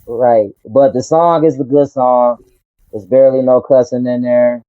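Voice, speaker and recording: Flat, low-key rapper from Detroit. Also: male voice, unhurried, dry recording, dull audio. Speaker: rapper guest. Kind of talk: interview answers.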